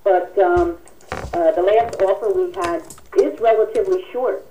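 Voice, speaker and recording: A woman hosting a telephone town hall as a union president, speaking formally and politely with halting delivery while taking callers. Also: medium pitch, mellow, slight background noise. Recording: distant radio-like telephone conference audio. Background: telephone conference call.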